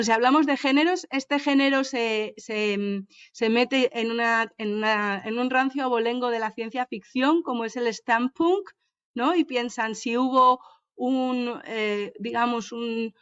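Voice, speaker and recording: Clear-voiced woman with a Madrid accent. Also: fairly high pitch, a bit bright. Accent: Madrid Spanish. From Madrid, Spain. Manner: thoughtful, hesitant, searches for words. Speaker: seminar participant. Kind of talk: academic discussion on a video call. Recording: muffled video call audio.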